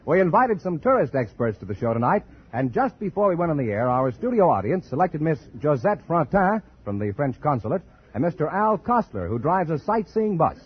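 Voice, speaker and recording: A male announcer introduces the guests in a formal, scripted read, his voice very husky but lively in pitch. The 1950 radio recording sounds dull and muffled. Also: a little fast.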